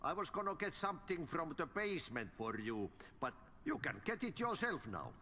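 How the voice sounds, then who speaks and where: An actor playing an older, cryptic, cheerful Finnish-accented janitor who speaks in a folksy, unhurried sing-song in a video game. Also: male voice, on the high side, big pitch swings, pushed and bright, in a large hall, a little hiss or hum. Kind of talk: video game character acting.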